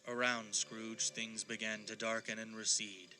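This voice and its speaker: A man narrating a ghostly Victorian audio play as a solemn, measured storyteller. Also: on the low side, flat delivery, pushed and bright, soft background music. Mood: suspenseful. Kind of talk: scripted dramatic narration.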